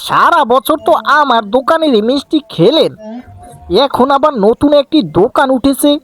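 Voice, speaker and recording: A woman's voice: sits high, expressive, normal speed, faint music behind. A woman voicing a pushy village shopkeeper who resents rivals, in a cartoon fairy tale. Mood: resentful.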